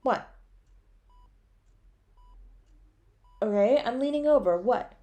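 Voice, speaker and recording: Woman acting a high-voiced, emotional superhero lover. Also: expressive, brisk, warm tone, muffled recording, lightly acted. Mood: exasperated, distraught. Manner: emotional, pleading, tender. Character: devoted, guilt-ridden superhero woman, anxious lover. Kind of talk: dramatic audio roleplay, intimate second-person.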